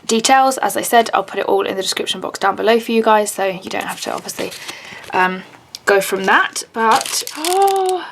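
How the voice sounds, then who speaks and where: Gushing raspy woman vlogger. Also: medium pitch, normal speed, a little hiss or hum. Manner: gushing, excitable, many "oh my God" and gasps. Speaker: vlogger unboxing handmade clay charms. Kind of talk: casual unboxing chat.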